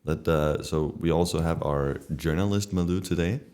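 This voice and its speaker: Very deep-voiced young male host. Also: moderate pace, darkish tone. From Denmark. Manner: plain, guiding questions. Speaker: podcast host. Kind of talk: hosting a student podcast.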